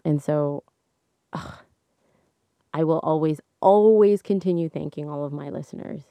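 A young woman hosting a solo podcast, chatting casually into a microphone. She laughs often, and her voice is slightly hoarse. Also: a little slow, no echo. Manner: chatty, laughs often, animated swings in pitch. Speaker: podcast host. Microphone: studio microphone.

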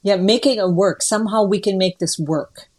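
A woman's voice: mid-range voice, moderate pace, darkish tone, husky. A middle-aged or older woman from northern Ontario with a Canadian accent, chatting casually as an interview guest in a folksy, plain-spoken way.

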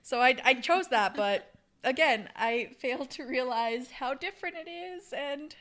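This is a young Canadian woman co-hosting a casual podcast. Her voice sits a little high, and she tells stories in an animated way, laughing often between lines. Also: a little slow. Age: young adult. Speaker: podcast co-host. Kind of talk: casual chat.

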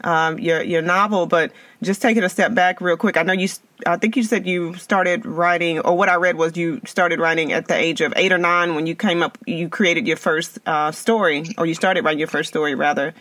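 A man's voice: on the high side, fairly even intonation, normal speed, hardly any pauses, bright, forceful tone, dry recording. A man hosting a podcast interview, upbeat and chatty, often restarting his questions. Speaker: podcast host. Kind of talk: casual interview.

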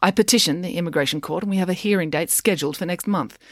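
A woman's voice: fairly low pitch, quick pace, no room sound, clean studio sound, natural voice. Woman playing a formidable, demanding female attorney. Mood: insistent, formal. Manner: formal, assertive, demanding.

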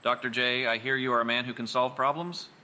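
A man's voice: medium pitch, fairly even intonation, a little fast, sharp tone, a little hiss or hum. A man playing an earnest film director with a hesitant, formal manner in an audio drama. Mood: worried.

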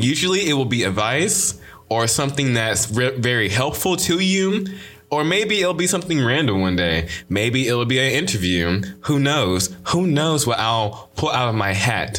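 Sassy young man with a lisp, bright voice. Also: medium pitch. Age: young adult. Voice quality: slight lisp. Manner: sassy, emphatic, repeats catchphrases. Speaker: podcast host. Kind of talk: casual solo podcast intro.

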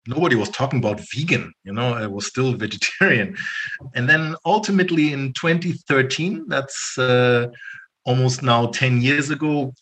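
A man from northern Germany, answering interview questions in a relaxed, rambling way with many ums and uhs. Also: medium pitch. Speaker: podcast guest, entrepreneur.

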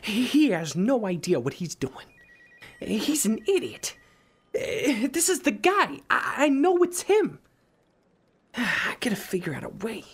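A man playing a frustrated, obsessive police detective in an audio drama, halting and agitated, with a short fuse. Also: high-pitched, sing-song, breathy edge, exaggerated voice. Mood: desperate, indignant.

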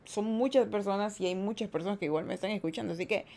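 A woman's voice: mid-range voice, fast, creaky, dark tone. A young woman from Guayaquil with an Ecuadorian accent, hosting a casual livestream podcast, chatty and upbeat as she reads the chat. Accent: Ecuadorian Spanish, Guayaquil. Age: young adult. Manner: chatty, upbeat, playful asides. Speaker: streamer and podcast host. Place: indoors at home, daylight room.

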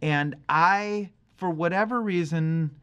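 A man's voice: a little high, takes it slowly, fairly bright tone, clear, resonant tone, highs cut off. A man in his forties co-hosting a podcast, talking about music in a casual, rambling, emphatic and opinionated way. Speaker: podcast host. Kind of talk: casual conversational music discussion.

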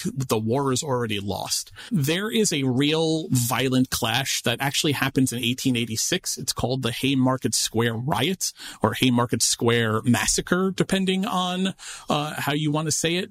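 A man's voice: medium pitch, fairly bright tone, no room sound. Chatty male podcast host explaining history. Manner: explains history with many fillers and restarts, lecturing. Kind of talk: casual recap chat.

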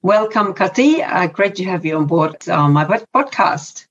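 A woman from eastern Finland with a Finnish accent, hosting a podcast in a measured, formal way. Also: fairly low pitch, lively intonation, muffled recording. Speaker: podcast host. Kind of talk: scripted intro then interview.

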